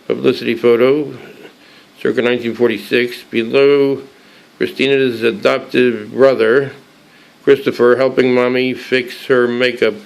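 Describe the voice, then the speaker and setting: A hoarse man with a cold hosting a casual show-and-tell, reading aloud from a book with many halting restarts and fillers. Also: medium pitch, flat delivery, poor audio. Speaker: collectibles channel host.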